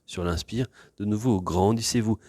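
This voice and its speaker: A man leading a yoga class, giving calm, unhurried guided instructions for body awareness and breathing. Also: fairly low pitch, no room sound.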